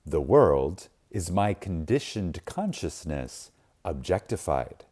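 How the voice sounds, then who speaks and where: A man reading a spiritual text aloud in a reverent, dramatic way, solemn and deliberate, with long pauses and strong emphasis. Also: sits low, big pitch swings, dark tone. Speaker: audiobook reader.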